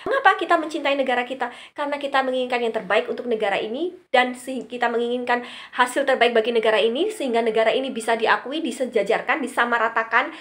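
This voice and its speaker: A woman's voice: fairly high pitch, fairly bright tone. A middle-aged woman giving an opinion monologue to online viewers, emphatic and fond of posing questions and answering them herself. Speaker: vlog host giving opinion commentary.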